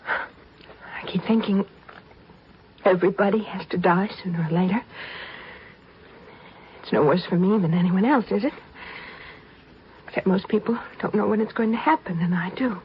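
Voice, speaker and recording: An actress playing a frightened, gentle young stowaway facing her fate in a 1950s radio drama, tremulous and hesitant. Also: medium pitch, normal speed, long pauses, lightly acted. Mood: fearful, subdued. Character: doomed young stowaway girl, frightened, gentle, bravely resigned. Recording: noisy, muffled 1950s radio recording.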